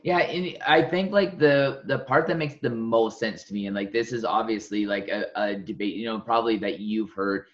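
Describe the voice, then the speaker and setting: A man's voice: on the low side, moderate pace, hardly any pauses. Rambling man with slightly dull audio. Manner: rambling, many filler "like"s. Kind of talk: podcast interview.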